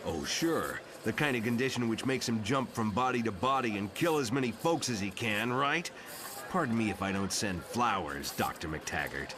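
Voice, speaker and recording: A man playing a gruff, feral mutant fighter in a motion comic, sardonic and distrustful. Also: on the low side, animated, normal speed, noisy background, lightly acted. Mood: sarcastic.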